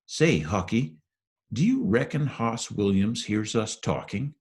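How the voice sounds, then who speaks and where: A man voicing a nervous young boy in a storybook, speaking in hushed, urgent, folksy dialect. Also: lowish voice, animated, takes it slowly, pitched above the performer's own voice. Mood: uneasy.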